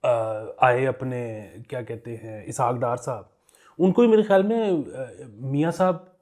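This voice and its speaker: A man's voice: mid-range voice, a little slow, a little mellow. A man with a Pakistani accent chatting casually as a podcast co-host, telling anecdotes in a joking way and chuckling as he talks. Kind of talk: casual humorous current affairs chat.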